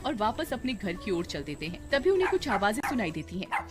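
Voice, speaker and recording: A woman's voice: mid-range voice, big pitch swings, fairly quick. A woman narrator reading a scripted moral story. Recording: loud music under the voice.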